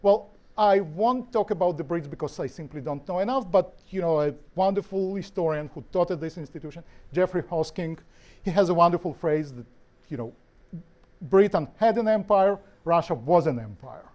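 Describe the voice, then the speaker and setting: Guy from ukraine at a panel talk, mellow a bit high voice, lots of restarts and you-knows. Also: expressive, normal speed. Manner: thoughtful, restarts often, many "you know" fillers. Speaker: author and historian, guest speaker. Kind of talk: panel discussion answer. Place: lecture hall.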